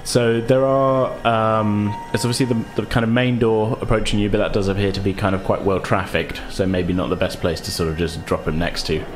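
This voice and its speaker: A man's voice: lowish voice, steady tone, a little fast, hardly any pauses, slight background noise. A man narrating a tabletop roleplaying game as game master, descriptive and conversational.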